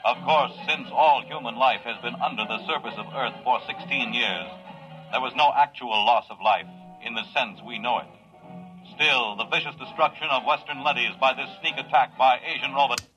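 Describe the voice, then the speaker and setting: A man's voice: mid-range voice, moderate pace, pushed and bright, natural voice. Male actor for grave American wartime newsreel announcer: mid-range, declamatory, indignant, vintage radio sound with music behind. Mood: solemn indignation. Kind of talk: 1950s radio newsreel narration. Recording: dull old radio recording under music.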